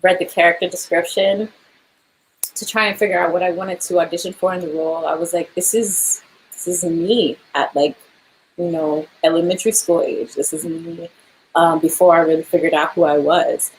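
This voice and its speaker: A woman's voice: on the low side, fairly even intonation, normal speed, a little hiss or hum, rough recording. A young woman, an actor, chatting casually in an interview, laughing often and filling her speech with "like" and "um".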